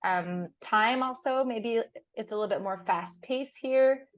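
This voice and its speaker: A woman's voice: a little high, a little slow, dry recording. A young woman whose first language is French, chatting in a casual interview over a video call, often laughing and restarting words. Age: young adult. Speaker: guest. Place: on a sailboat.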